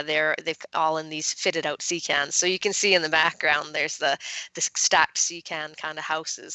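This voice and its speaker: This is a woman author chatting in an interview. Her voice is very bright and sits a little low. She talks informally, often dropping in "like", and the sound is dry. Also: no room sound, poor audio. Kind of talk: casual interview chat.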